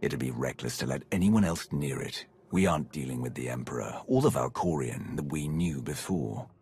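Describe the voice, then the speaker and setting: A man playing a stern, authoritative Sith warrior commander in a video game cutscene, with a deep gravelly voice. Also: expressive, normal speed, a little mellow, exaggerated voice.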